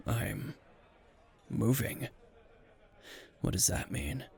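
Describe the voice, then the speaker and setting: A man playing a gruff, weary, tipsy hero teacher who is dryly flirtatious, in an intimate, deadpan ASMR roleplay with a gravelly voice. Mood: wry, tipsy. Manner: low-key, dry, deadpan, unhurried.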